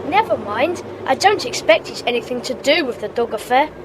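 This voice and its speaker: A woman's voice: high voice, animated, brisk, a little hiss or hum. A woman playing a sensible, sceptical schoolboy in a detective gang, reasoning matter-of-factly in a children's audio drama. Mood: sceptical, musing.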